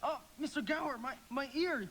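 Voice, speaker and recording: A man's voice: extremely high pitch, normal speed, a bit bright, slight background noise. An actor playing a frightened, good-hearted young boy who stammers and pleads in a live radio play. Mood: frightened, pleading.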